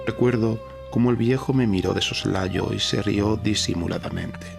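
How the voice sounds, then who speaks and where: A man narrating a gothic horror story as a dramatic audiobook, with a solemn, measured delivery. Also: on the low side, steady tone, vocal fry. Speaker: podcast narrator reading a horror short story. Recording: narration over a music bed. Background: background music.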